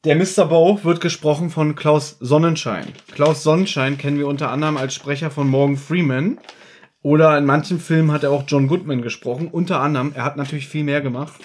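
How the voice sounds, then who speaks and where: A man's voice: on the high side, steady tone, brisk, husky. A man hosting a podcast, chatting casually as he recaps an audio drama. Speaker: podcast host.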